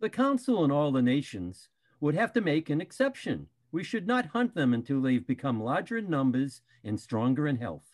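Older guy doing a polite panda bear in a stage play, highish voice, really swoopy. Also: very animated, normal speed, darkish tone. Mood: earnest, reasoning. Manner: polite, persuasive. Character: earnest, reasonable panda bear defending a newcomer. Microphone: video call.

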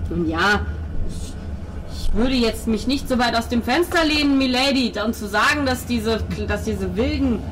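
A woman's voice: a little high, expressive, moderate pace, a bit bright, slight background noise, low-quality recording. A woman voicing a devout, pompous male priest in tabletop roleplay, explaining at length with hesitations and pious flourishes. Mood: patient explaining.

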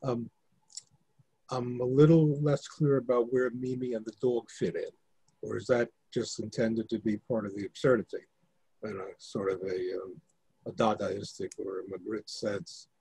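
A man's voice: on the low side, level tone, normal speed, warm tone, husky, low-quality recording. A man asking questions hesitantly in a casual video call discussion. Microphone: video call microphone.

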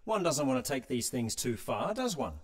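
A man's voice: medium pitch, sing-song, moderate pace. An actor playing a vain tin woodman with morbid wit in an audio drama, dry and sardonic. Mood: wry, smug.

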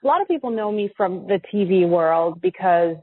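A woman's voice: on the low side, animated, moderate pace. An American woman in her forties chatting casually as a guest in a podcast interview. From United States. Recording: thin, phone-like line.

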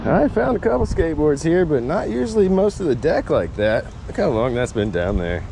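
A man's voice: fairly high pitch, very animated, fairly quick, creaky, warm tone. A man doing casual outdoor vlog commentary, talking to viewers and to himself as he works, recorded on a chest-mounted action camera. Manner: talks to viewers and himself while working. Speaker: magnet fishing vlogger. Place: outdoors on concrete by water under a road bridge. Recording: noisy outdoor action-camera audio with ambience.

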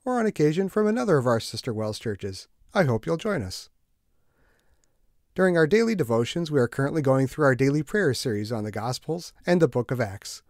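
A man's voice: on the high side, darkish tone, dry recording, clean studio sound. A man hosting a church podcast, giving a friendly, lightly joking promo announcement. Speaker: podcast host.

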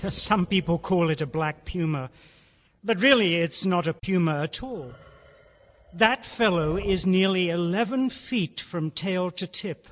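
An actor playing a genial, sly gentleman who keeps exotic beasts, effusive and genteel in a theatrical radio drama style. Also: sits high, a little slow. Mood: proud, relishing. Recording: dull, noisy old radio-drama recording.

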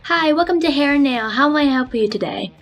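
A woman's voice: animated, brisk, soft background music. A woman voicing a meek, timid female shop clerk in a game roleplay. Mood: cheery. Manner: squeaky, timid. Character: bright-greeting female shop clerk, easily frightened, meek. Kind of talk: Roblox roleplay acting.

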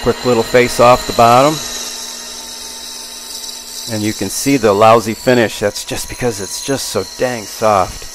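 A man's voice: mid-range voice, fairly even intonation, unhurried, pauses often. A man in his sixties casually narrating hands-on shop work in a folksy, plainspoken way. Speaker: machinist and channel host. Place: machine shop, indoors. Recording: clear machine and tool noise. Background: machinery and power tools.

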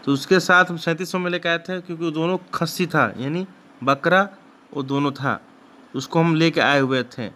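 Guy talking over his goat farm video, kinda high bright voice, rambles and repeats himself. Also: moderate pace. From Bihar, India. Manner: rambling, repetitive, frequent restarts. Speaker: goat farmer and channel host. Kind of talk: casual narration over farm footage.

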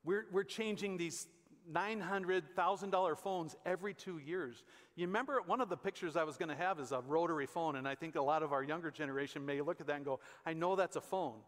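A man in his fifties with an American accent and a high-pitched voice preaches in a conversational, emphatic way, repeating phrases for weight. He is a pastor on stage, and the long reverb of a large church hall surrounds his words. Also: brisk. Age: 50s. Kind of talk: conversational sermon.

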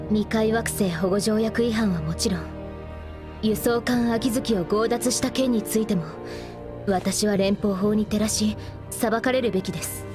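An actress playing an earnest, dutiful young female lieutenant who speaks softly in a game cutscene. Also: medium pitch, measured pace, close to an everyday voice. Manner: earnest, soft-spoken, hesitant. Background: music.

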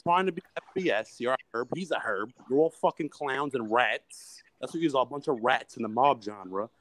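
A man's voice: medium pitch, moderate pace, fairly bright tone. A man with a New York accent, phoning in to a live stream and ranting insults at the hosts. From Brooklyn, New York. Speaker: caller.

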